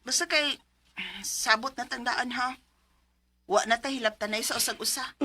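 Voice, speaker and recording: An actress with a Cebuano accent playing a bitter, demanding estranged wife in a 1990s radio drama, speaking in a sharp, confrontational manner. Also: female voice, fairly high pitch, normal speed. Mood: cold.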